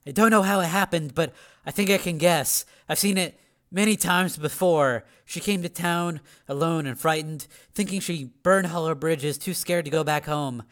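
Man voicing a grave, flat-toned woman. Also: fairly high pitch, moderate pace, pitched above the performer's own voice, close to an everyday voice. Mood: grave, reluctant. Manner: flat, subdued, hesitant. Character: grave, world-weary woman breaking bad news gently.

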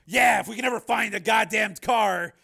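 Man playing tough armed male hero, raised pitch, loud bright delivery, impatient, clipped orders, audiobook drama. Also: normal speed, gravelly, full character voice. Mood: exasperated. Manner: forceful, commanding, clipped orders.

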